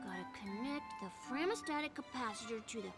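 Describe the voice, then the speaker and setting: A woman's voice: on the high side, animated, fairly quick, loud music behind the voice. A woman with an American accent voicing an earnest, brainy little boy fox sidekick in a cartoon. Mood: puzzled, earnest.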